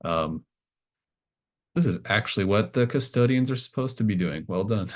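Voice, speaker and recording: Man, low pitch, game master, casual improvised narration, halting, encouraging. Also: expressive. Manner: halting, thinking aloud, encouraging.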